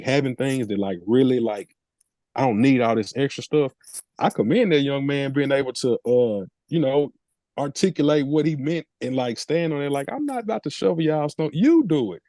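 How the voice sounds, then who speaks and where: A middle-aged man with a relaxed, soft-edged tone and very little room sound. He talks as a casual podcast co-host, making his points in slangy, emphatic, opinionated language. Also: medium pitch, no room sound.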